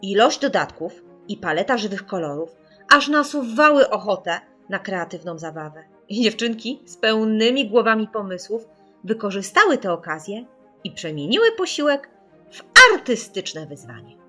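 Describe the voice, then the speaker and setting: Female narrator for kids' audiobook: expressive, very varied melody, mid-pitched, dramatic stress on key words. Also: moderate pace, soft background music. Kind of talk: expressive scripted storytelling for children.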